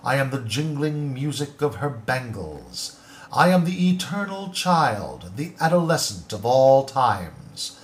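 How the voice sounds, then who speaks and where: A man giving a dramatic poetry recitation in a declamatory voice, moving between loud proclamations and hushed lines. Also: mid-range voice, sing-song, slow pace.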